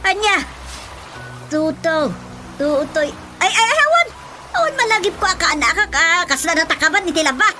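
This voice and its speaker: A woman's voice: extremely high pitch, sing-song, normal speed, music in the background. A woman voicing a flustered, exclaiming village auntie with an Ilocano Filipino accent in a comedic radio drama. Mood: startled, puzzled.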